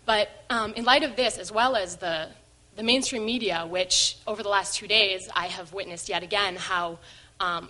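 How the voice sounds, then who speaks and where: A woman's voice: mid-range voice, bright, forceful tone, low-quality recording. A young woman asking a question from the audience, speaking hesitantly with many fillers. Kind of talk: question from the floor. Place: lecture hall.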